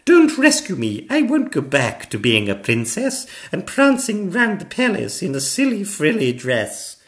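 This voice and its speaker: A kind and plucky little girl princess from a storybook, played by a man. The voice is pitched very high and lilts in an emphatic sing-song, swinging widely in pitch. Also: huge pitch swings, measured pace, fairly bright tone, exaggerated voice. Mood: indignant, determined.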